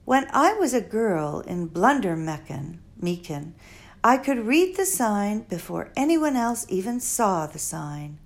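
A woman's voice: medium pitch, big pitch swings, unhurried, creaky. A woman reading an audiobook, voicing an eccentric elderly female philosopher who speaks in stilted, formal phrases. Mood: musing.

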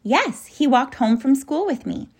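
Storybook role: trusting little girl, small high voice, hesitant and curious female reader acting. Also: animated, measured pace. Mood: artless, chatty. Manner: small-voiced, questioning, artless. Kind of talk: children's storybook character voice.

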